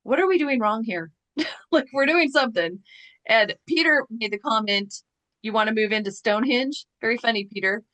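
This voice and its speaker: A woman hosting a chat show, talking casually with quick asides and rhetorical questions. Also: on the high side, fairly bright tone, no echo. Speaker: podcast host.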